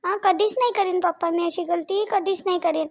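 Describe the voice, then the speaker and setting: A woman's voice: extremely high pitch, steady tone, normal speed, vocal fry. A woman playing a tearful, frightened village wife with a rural Vidarbha Marathi accent, repeating her pleas in an amateur family drama. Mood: tearful pleading. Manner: pleading, repeats phrases.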